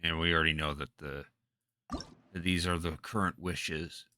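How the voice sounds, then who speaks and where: A man streaming a video game with casual commentary, halting and repeating his phrases as he talks half to himself. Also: a bass voice, fairly even intonation, bright, forceful tone, slight background noise. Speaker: game livestreamer. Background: game music.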